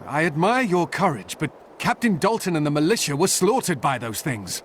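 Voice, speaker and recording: A man's voice: on the high side, very animated, moderate pace, a little hiss or hum. An actor playing a weary, commanding male town guard captain in a video game, urgent and direct. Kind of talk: video game dialogue voice acting.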